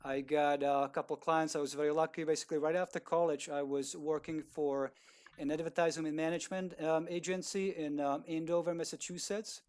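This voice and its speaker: A man with a Czech accent speaking as a guest on a video call, polite and halting, with many fillers and restarts. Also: fairly high pitch, little pitch movement, a little mellow, clean tone. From Czech Republic. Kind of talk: casual talk on a video call.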